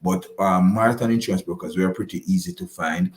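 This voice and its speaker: A man with a Jamaican accent giving explanatory interview answers as a financial advisor, halting and repeating phrases for emphasis. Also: sits low. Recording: roomy, echoing voice pickup.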